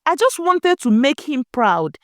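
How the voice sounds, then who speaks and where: An anguished young woman played by a female narrator pleads in a high, whimpering voice. Her pitch is lively and swooping, in a dramatic storybook style. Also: measured pace, no room sound, studio quality. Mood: pleading.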